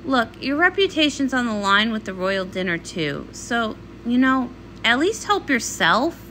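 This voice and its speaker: A woman's voice: a little high, sing-song, unhurried, bright, forceful tone, slight background noise, exaggerated voice. A woman voicing a young, sporty female pony princess, blunt, exasperated and sarcastic, in a comic read-along. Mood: firm, bargaining.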